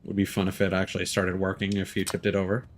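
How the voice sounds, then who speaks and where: A man's voice: sits low, monotone, quick pace, a little hiss or hum. A man giving casual, rambling live commentary as a game streamer, informal and prone to swearing. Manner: informal, rambling, swearing, muttered reactions to what is on screen.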